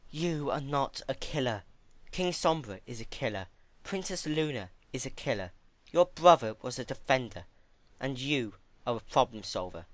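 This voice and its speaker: A cold, regal winged unicorn queen, put on by a male narrator in a dark storybook drama. She speaks with measured condescension and composure, in a raised voice register with a dry, close sound. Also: mid-range voice, animated, no room sound, voice pushed up, lightly acted. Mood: calm reproach. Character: regal, cold, manipulative female alicorn pony ruler.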